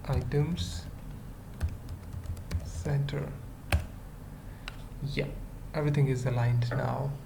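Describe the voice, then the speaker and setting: A person thinking aloud through an unscripted coding tutorial, murmuring short halting fragments with long pauses. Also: medium pitch, steady tone, vocal fry. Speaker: web developer giving a tutorial. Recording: noisy room with keyboard typing. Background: computer keyboard typing.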